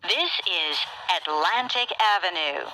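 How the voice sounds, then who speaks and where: A woman delivers formal, scripted transit announcements. She takes her time, with lively rises and falls in pitch and careful enunciation. Clear noise sits over the recording. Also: slow. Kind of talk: scripted automated train announcements. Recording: noisy train announcement playback with radio-like hiss.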